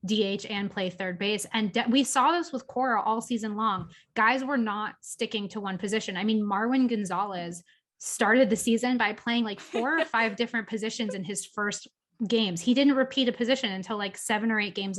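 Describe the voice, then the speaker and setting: A woman's voice: mid-range voice, normal speed, fairly bright tone. A woman co-hosting a podcast, talking sports in a casual, opinionated way with plenty of filler words. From Boston area. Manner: chatty, opinionated, lots of "like" and "um". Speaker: podcast co-host.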